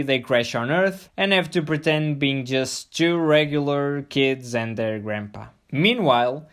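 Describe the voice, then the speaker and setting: A man's voice: medium pitch, fairly bright tone. A man hosting a scripted video review, playful and full of jokey asides. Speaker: host of a review show.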